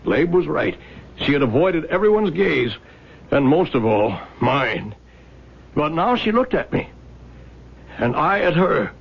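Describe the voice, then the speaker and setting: An earnest, haunted younger man in an old radio play. His voice is fairly high, husky and crackly, and he recalls things with halting, intense wonder. The sound is dull. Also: very animated, exaggerated voice. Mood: awed, intense. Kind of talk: radio drama acting. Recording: dull 1970s radio recording.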